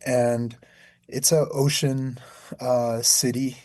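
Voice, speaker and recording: A man from Peru answering interview questions calmly and reflectively, often repeating words as he thinks. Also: mid-range voice, slow pace, dry recording. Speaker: guest, healing arts teacher.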